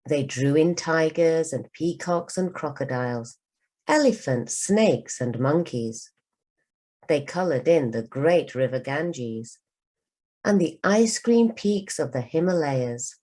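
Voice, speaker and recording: A woman reading a children's storybook aloud for learners, as a teacher does, with careful, expressive delivery. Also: deep voice, slow. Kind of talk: scripted storybook narration for learners.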